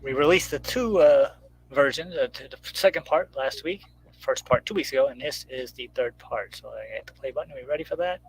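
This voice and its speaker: A middle-aged man chatting casually on a video call, explaining things in a halting way. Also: mid-range voice. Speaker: guest writer and radio show host. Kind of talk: casual chat. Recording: clearly noisy line with hum.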